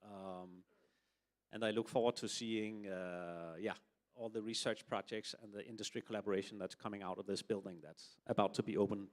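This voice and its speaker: A man's voice: fairly low pitch, fairly even intonation, moderate pace. A middle-aged man from Denmark, a building consultant speaking on a forum panel, with many hesitations and filler sounds. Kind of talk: panel discussion answer.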